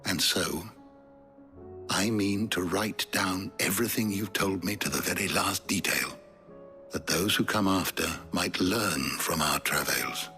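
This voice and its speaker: An actor playing an erudite older scholar in a video game, with a raspy voice and a measured, eloquent manner. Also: male voice, sits low, bright, forceful tone, faint music behind. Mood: solemn, hopeful. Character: erudite older male scholar, solemn and sardonic.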